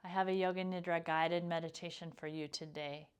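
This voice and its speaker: A woman leading a guided meditation as a teacher, speaking in a calm, gentle, unhurried way with pauses. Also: lowish voice, clear, resonant tone. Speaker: yoga nidra meditation teacher.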